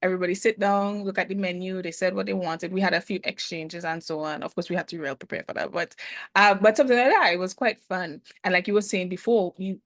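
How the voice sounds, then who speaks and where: A woman guest, a business consultant, speaking reflectively in a casual interview with frequent hesitant pauses. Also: lowish voice, steady tone, dry recording. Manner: thoughtful, hesitant, many "um" pauses.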